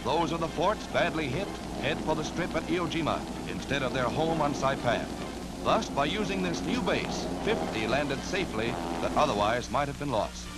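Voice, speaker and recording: American guy doing old 40s newsreel narration, highish voice, big dramatic delivery, loud music behind. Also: lively intonation, moderate pace. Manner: dramatic, declamatory. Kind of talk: 1940s newsreel narration, scripted. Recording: archival 1940s newsreel soundtrack. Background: loud orchestral newsreel music.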